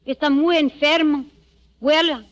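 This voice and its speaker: A woman's voice: high voice, fairly even intonation, normal speed, close to an everyday voice. Radio drama role for an actress: hard-boiled woman, Spanish accent, bossy and matter-of-fact, dull vintage broadcast sound. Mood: guarded. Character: tough, streetwise Spanish-speaking woman who hates cops. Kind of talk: 1940s radio acting. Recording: muffled old-time radio recording.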